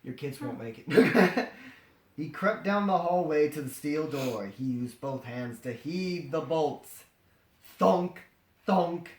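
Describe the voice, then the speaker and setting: A man's voice: fairly high pitch, expressive, slow pace. A man reading a story aloud as comedy, breaking off into jokey, dramatized ad-libbed asides. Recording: roomy echo, faint noise and clicks.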